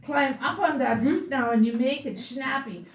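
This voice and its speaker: A woman's voice: sits high, normal speed, natural voice. A woman voicing a grumbling, sour old man who barks rhyming orders at cattle in a storybook. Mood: grumpy, threatening. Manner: bossy, growling commands in rhyme. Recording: roomy, distant mic, faint noise.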